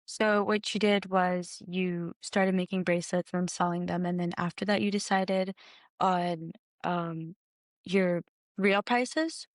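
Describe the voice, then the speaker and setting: A woman's voice: lowish voice, steady tone, slow, dry recording, clean studio sound. A woman conducting an interview, asking prepared questions in a conversational exchange.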